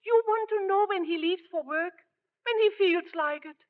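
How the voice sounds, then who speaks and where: A woman's voice: extremely high pitch, normal speed. An actress playing a proud, stubborn immigrant mother with a blunt, scolding manner in a 1940s radio drama. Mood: proud, chatty. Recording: dull vintage radio broadcast recording.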